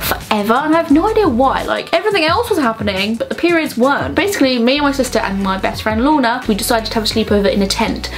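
Young woman, chatty, higher-pitched voice. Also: quick pace, hardly any pauses. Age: young adult. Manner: animated, frank, informal, jokey. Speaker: vlogger answering viewer questions. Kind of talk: casual chatty Q&A to camera. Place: small bedroom, indoors.

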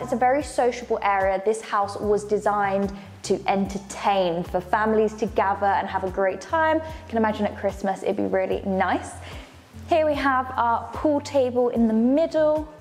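A young woman presents a house tour in a polished, upbeat way. Her voice sits at an average pitch. Light music plays softly underneath. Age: young adult. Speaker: presenter of a luxury home tour show. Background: faint music.

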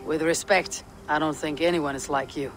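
An actor playing a stoic, guarded young Viking warrior in a video game, with a terse, wry, deadpan delivery. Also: male voice, fairly high pitch, moderate pace, soft background music, close to an everyday voice. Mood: measured. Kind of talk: dramatic video game acting.

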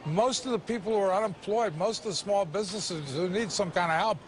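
A middle-aged man in a news studio pushes back with slow, skeptical questions. His voice is strikingly high for a man. Faint noise sits behind him. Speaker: co-anchor. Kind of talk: live business news debate.

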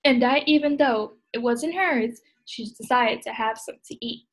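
A young woman reads a children's story aloud in a fairly high voice with a husky edge. She speaks as a preschool teacher to little listeners, on a laptop mic in a room at home. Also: a little slow, low-quality recording. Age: young adult. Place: small indoor room at home. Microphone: laptop video call.

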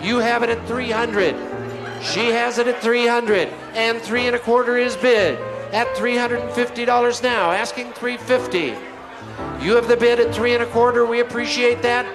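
A man's voice: very high voice, fairly even intonation, normal speed, over music. A middle-aged man working as an auctioneer at a live benefit auction, chanting repeated bids into a handheld microphone in a banquet hall. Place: large banquet hall, on stage. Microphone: handheld microphone through a PA.

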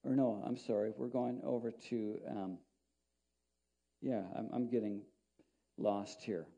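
A man's voice: on the low side, steady tone, unhurried, vocal fry, mellow. A middle-aged man, a pastor, preaching a sermon in a small church and reading scripture aloud in a conversational, rambling way with frequent restarts. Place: small church sanctuary, indoors.

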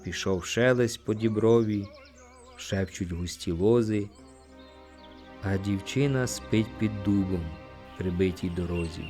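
A man narrating an audiobook, reciting poetry in an expressive, theatrical way. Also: on the low side. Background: music.

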